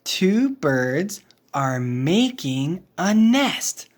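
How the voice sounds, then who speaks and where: A woman's voice: fairly low pitch, very animated, drawn-out words, a little mellow, a little hiss or hum. A woman reading a children's picture book aloud in a playful way, with little interjections and sound effects.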